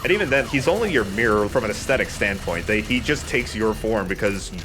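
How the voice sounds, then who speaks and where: A man's voice: on the low side, expressive, fairly quick, music in the background, low-quality recording. A man doing informal, opinionated commentary over a video game as a let's player.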